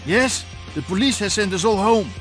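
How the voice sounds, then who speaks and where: Tipsy harbour barfly, high-pitched, forceful bright tone, chatty, insinuating, video game. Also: male voice, animated, normal speed, soft background music. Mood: jovial, sly. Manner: chatty, insinuating, simple clipped phrasing. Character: chatty, tipsy, leering harbour bar local.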